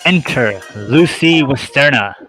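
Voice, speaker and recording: Male narrator, medium pitch, reads scene headings and stage directions for a comedy radio drama. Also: lively intonation, slow, pushed and bright, faint music behind, rough recording. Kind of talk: scripted narration of a comic radio play.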